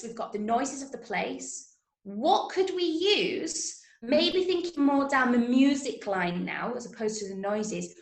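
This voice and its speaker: A woman from Manchester with an English accent, teaching an interactive drama workshop online in an encouraging manner. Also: on the high side. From Manchester, England. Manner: encouraging, praising students' answers. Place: indoors, on a video call. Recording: roomy, echoey sound.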